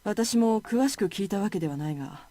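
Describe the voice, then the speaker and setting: A woman's voice: mid-range voice, lively intonation, racing, warm tone, faint music behind, close to an everyday voice. An actress playing a stern, composed woman in a visual novel, speaking solemnly and bluntly. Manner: solemn, blunt, matter-of-fact. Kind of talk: Japanese visual novel voice acting.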